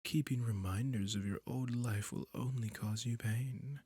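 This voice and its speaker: A man voicing a possessive, sadistic male vampire who is cruelly seductive, in an ASMR roleplay, talking slowly and domineeringly in a husky, often whispered voice close to the mic. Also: mid-range voice, fairly even intonation, dark tone, dry recording. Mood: coldly cruel. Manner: slow, purring, domineering.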